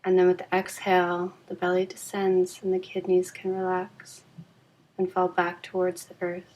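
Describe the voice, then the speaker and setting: A woman leading a guided yoga nidra relaxation in a calm, soothing, unhurried way with long pauses. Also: lowish voice, poor audio.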